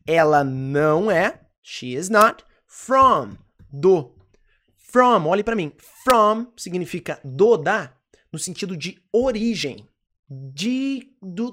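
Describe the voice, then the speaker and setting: A teacher, talking to camera in an English lesson and repeating words slowly to drill pronunciation. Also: medium pitch. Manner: explains in quick asides, then stresses English words. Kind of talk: online English lesson for Portuguese speakers.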